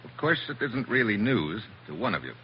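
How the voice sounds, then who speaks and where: An actor playing a tough, wry American special agent in a terse 1940s radio drama style. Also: male voice, on the low side, sing-song, fairly quick. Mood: cool accusing. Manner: hard-boiled, terse, wry. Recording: muffled, hissy 1940s radio recording.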